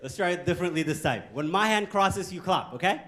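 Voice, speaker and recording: Guy giving a pep talk to teens, slightly high voice, jokey and lively with the crowd. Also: brisk, slight background noise. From Ethiopia. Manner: joking, animated, engages the crowd with questions. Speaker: guest speaker. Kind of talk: motivational talk to a youth audience. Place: conference hall with an audience.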